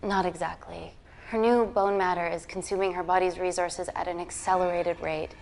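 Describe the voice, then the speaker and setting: A woman's voice: lowish voice, steady tone, moderate pace, vocal fry, a little mellow. A woman playing an authoritative scientist in a screen drama, speaking in a precise, clinical way. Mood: tense, grave. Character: calm, authoritative woman scientist, protective of her patient. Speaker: doctor leading a laboratory. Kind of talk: naturalistic screen drama acting.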